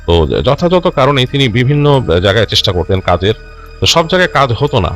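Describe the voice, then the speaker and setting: A man narrates a ghost story as a host, speaking conversationally with lively pitch movement. He often pauses, restarts and repeats himself, dropping in English words, over background music. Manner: halting, self-correcting, repeats and rephrases phrases as he goes; mixes English words into his speech. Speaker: host narrating listener-submitted ghost stories.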